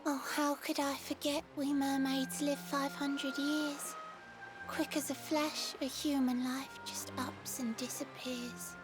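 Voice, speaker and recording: Game role: lovelorn mermaid, very high voice, wistful theatrical verse over clear music. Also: female voice, little pitch movement. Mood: grieving. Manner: theatrical, lilting, measured, sighing. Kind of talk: video game voice acting in rhymed verse.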